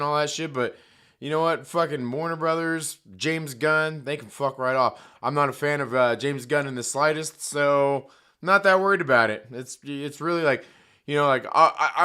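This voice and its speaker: A man hosting a live stream, talking casually and profanely while his voice is hoarse, joking and reading chat aloud. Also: fairly high pitch, bright, forceful tone.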